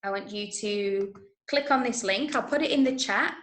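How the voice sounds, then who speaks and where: A woman's voice: mid-range voice, normal speed, a bit bright. A woman from Manchester with an English accent teaches an online workshop for schoolchildren, encouraging them and often checking in with short tag questions. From Manchester, England. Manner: encouraging, frequent checking "yeah?" and "okay?". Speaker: workshop teacher of radio drama. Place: indoor room, online video call. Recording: roomy, echoing online-call sound.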